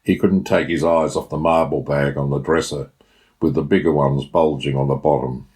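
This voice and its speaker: An Australian man narrates a short story in an exceptionally deep voice. He reads from a script as the author of the tale. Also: fairly even intonation, normal speed, a little mellow.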